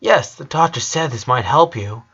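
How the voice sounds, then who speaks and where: A man's voice: mid-range voice, animated, moderate pace, a bit bright, slightly rough, muffled recording. A man voicing a caring teenage older sister, encouraging and matter-of-fact, reading a story. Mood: coaxing.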